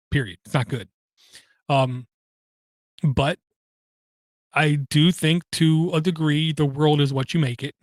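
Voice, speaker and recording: A man hosting a podcast, talking through personal matters in a halting way, with many pauses and fillers and an occasional stutter. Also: mid-range voice, no echo, clean studio sound. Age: adult, has kids. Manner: halting, frequent ums and uhs, slight stutter. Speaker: podcast host. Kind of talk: personal monologue.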